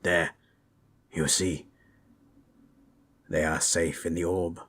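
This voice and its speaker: A man playing a calm, formal undead spellcaster in a fantasy audio drama, with a husky voice and measured, solemn manner. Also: deep voice, a little hiss or hum. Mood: reassuring.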